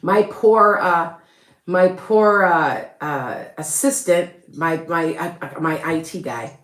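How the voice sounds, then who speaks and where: Woman, Chicago accent, lowish voice, rambling chatty live-stream host, roomy echo. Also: slow. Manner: rambling and chatty, with frequent laughs and restarts. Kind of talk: casual live-stream chat.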